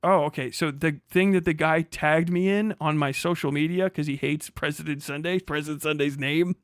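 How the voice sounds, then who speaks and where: Higher-voiced man, exasperated sarcastic streamer. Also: fairly even intonation, normal speed, no echo, clean studio sound. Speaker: livestream host reacting to a recorded conversation. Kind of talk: casual reaction commentary, heavy swearing.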